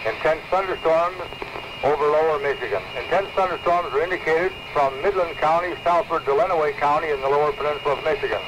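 A noisy old radio tape holds an American man's somewhat high-pitched voice. He reads a formal weather summary in a scripted announcer style. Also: fast talker.

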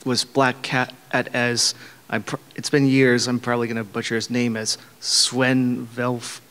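A man in the audience asking a panel question into a handheld mic, halting and restarting as he goes. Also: medium pitch, flat delivery, a bit bright, clean tone. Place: large convention hall panel room. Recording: roomy hall reverb.

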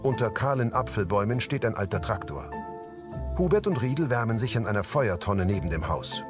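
A man's voice: fairly low pitch, animated, a little fast. A man reading scripted present-tense scene narration as an audio describer for a drama. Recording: music behind the narration. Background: background music.

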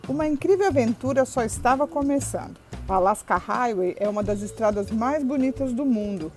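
A woman's voice: a little high, animated, fairly quick, a little mellow, faint music behind. A Brazilian woman with a Brazilian Portuguese accent narrating a travel vlog in a cheerful, easy manner. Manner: cheerful, laughs often while filming.

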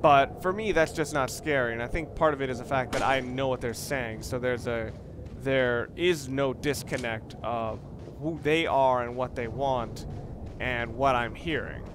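A man with a mid-pitched voice gives casual Let's Play commentary. He rambles, circling back to rephrase himself, over clearly noisy video game ambience. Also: unhurried. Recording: noisy, game sounds behind the voice.

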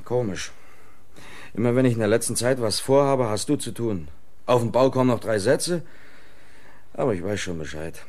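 An actor playing a jealous, sullen young boyfriend in a German radio crime play, talking in a sulky, curt way. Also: male voice, mid-range voice, lively intonation, moderate pace, long pauses, a little mellow, natural voice.

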